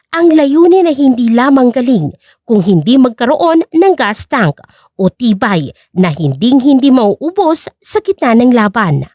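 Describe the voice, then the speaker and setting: A woman's voice: high-pitched, a little mellow, no room sound, clean studio sound. A woman narrator reading a scripted story in a dramatic storytelling style.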